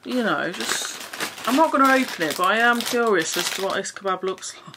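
A woman's voice: mid-range voice, animated, normal speed, noisy background. A young woman in a small bedroom, chatting frankly and casually to camera about her haul, swearing now and then. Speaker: vlogger.

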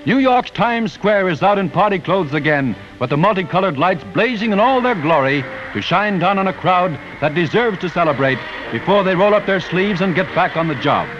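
High-pitched American man narrating a 1940s newsreel. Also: expressive, moderate pace, few pauses. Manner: declamatory, solemn patriotic delivery. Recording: dull vintage newsreel soundtrack with music.

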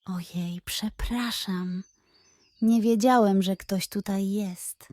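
A woman's voice: mid-range voice, fairly even intonation, takes it slowly, mellow, no echo, close to an everyday voice. A woman voicing an eager, sheepish young male badger inventor in a children's bedtime story. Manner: enthusiastic, sheepish.

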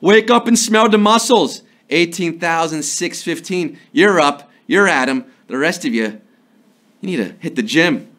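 A man in his thirties hosting a live trivia show from a studio, playful and jokey, a little hoarse from a sore throat. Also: a little high, expressive, fairly bright tone. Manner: playful, jokey, slips into quick impressions and sung bits. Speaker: trivia game show host. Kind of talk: upbeat live quiz hosting. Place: studio before a green screen.

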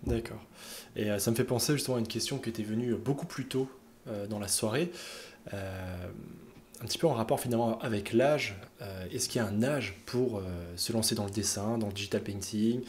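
A man hosting a live stream interview over a headset, calmly reading out viewer questions. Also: lowish voice, a little fast, a little hiss or hum. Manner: relaxed, reads viewer questions. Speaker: interview host.

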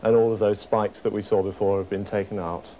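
Young man, low mellow voice. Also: level tone, a little fast, low-quality recording. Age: young adult. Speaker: engineer demonstrating software. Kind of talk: technical demonstration. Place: small office workroom with computers. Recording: faint room noise, TV report.

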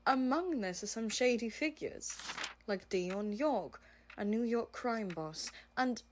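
A woman's voice: mid-range voice, a little hiss or hum, close to an everyday voice. A woman playing a serious, exacting aide with a formal, dry manner in an audio roleplay. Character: serious, exacting female crime-business aide, dryly exasperated. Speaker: voice actor. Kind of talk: amateur audio roleplay acting.

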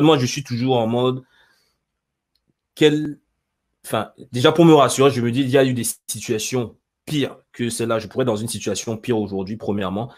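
A man's voice: medium pitch, a little mellow. A man in his twenties hosting a conversational live interview over a headset, wordy and often rephrasing himself. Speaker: host, interviewer. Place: indoor room, video call.

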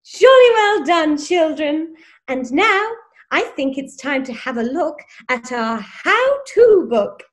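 High, swooping, prim children's TV schoolmistress played by an actress. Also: female voice, big pitch swings, slow pace, heavily acted. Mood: gushing, condescending. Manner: sing-song, exaggeratedly jolly and condescending. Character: prim, gushing, sinister children's TV schoolteacher woman. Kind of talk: parody children's show presenting.

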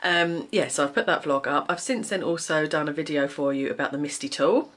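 A woman's voice: deep voice, brisk, a bit bright. A woman in her forties with a sore throat and a sniffly cold, chatting casually in a vlog from her home craft room, rambling and restarting as she shows her work. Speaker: craft vlogger. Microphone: camera close to face, then pointed down at the desk.

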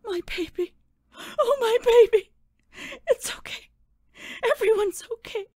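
Woman acting a very high-voiced, emotional doting mother. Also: expressive, measured pace, darkish tone, no echo, studio quality. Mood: weeping relief. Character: tearful, doting mother of a lost boy.

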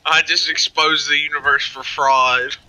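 A man's voice: fairly high pitch, level tone, sharp tone, poor audio. A man joking around with friends in a voice chat while playing a game, slipping into silly put-on voices. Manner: goofy, puts on silly voices and slow drawls. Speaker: gamer in a group voice chat. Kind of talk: joking banter among friends.

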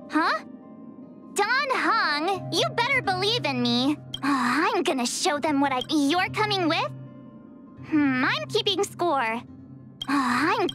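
Bubbly game teen girl, super high, bouncy pitch, cheeky. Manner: bubbly, expressive. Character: perky, cheeky, eager teenage girl. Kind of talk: video game voice acting.

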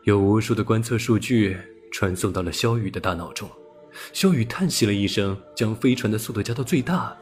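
Male audiobook narrator, lowish pitch, scripted storytelling read, light music underneath. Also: a little fast.

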